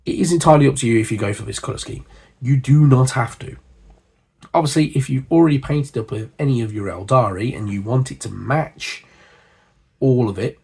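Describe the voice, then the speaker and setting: A man hosting a hobby channel, hoarse and husky from a cold, chatting casually with lots of fillers and asides. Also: mid-range voice, darkish tone. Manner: chatty, rambling, with frequent fillers. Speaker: miniature painting channel host. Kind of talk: casual hobby chat to camera.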